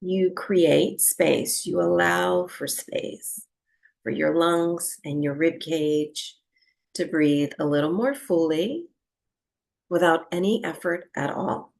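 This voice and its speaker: A woman leads a guided breathwork session at a very slow pace. Her voice sits a little low, with a strong creak in it. She gives gentle instructions and leaves long pauses between them. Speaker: yoga and breathwork teacher.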